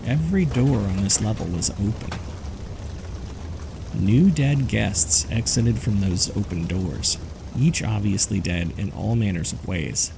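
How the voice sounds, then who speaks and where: Low, gravelly male narrator. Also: animated, a little slow, noisy background. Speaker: host and narrator of a fiction horror podcast. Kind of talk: scripted narration. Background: background music.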